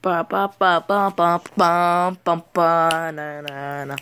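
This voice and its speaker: A man's voice: a little high, flat delivery, slow pace, sharp tone, slight background noise. A teenage boy chatting casually on his vlog in a cluttered bedroom, playful and rambling, filming himself on a phone at arm's length. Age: teens. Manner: playful, rambling, hums filler sounds. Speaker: vlogger.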